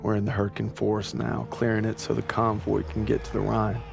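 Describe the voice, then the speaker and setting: An actor playing a weary young American soldier, narrating somberly in a game cutscene. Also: male voice, flat delivery, fairly quick. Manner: somber, gravelly, low-key. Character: young male American GI, weary, homesick private. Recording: narration over loud music. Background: music.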